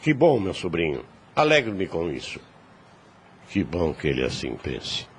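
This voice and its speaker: Man acting as a deep-voiced, solemn older priest. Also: huge pitch swings, heavily acted. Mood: warm, pleased. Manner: solemn, measured, formal. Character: grave, kindly older priest uncle, fatherly and concerned. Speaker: voice actor. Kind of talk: audio drama acting. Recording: clear background noise.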